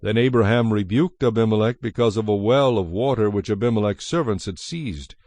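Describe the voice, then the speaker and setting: A man giving a measured, solemn narration of scripture as the narrator of a dramatized production. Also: lowish voice, dry recording. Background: soft music.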